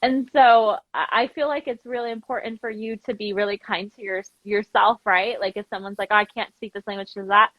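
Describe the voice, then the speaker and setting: A woman's voice: mid-range voice, steady tone, a little fast, hardly any pauses, a bit bright, no room sound, low-quality recording. A woman chatting informally as a guest in a casual interview, telling stories and acting out bits of dialogue. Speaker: interview guest, language program founder. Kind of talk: casual live interview chat, animated storytelling.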